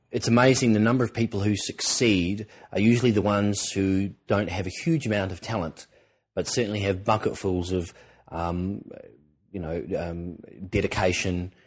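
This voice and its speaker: A man co-hosting a podcast with a croaky voice, talking in an earnest, encouraging way and rambling with restarts. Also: sits low. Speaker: podcast co-host, presenter coach. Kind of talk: casual podcast talk.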